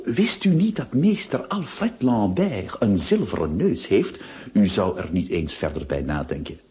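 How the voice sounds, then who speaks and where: An actor playing a pompous, well-to-do middle-aged notary who narrates a radio play with theatrical pauses and rhetorical questions. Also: male voice, fairly low pitch, warm tone. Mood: self-important. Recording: dull lo-fi old radio recording.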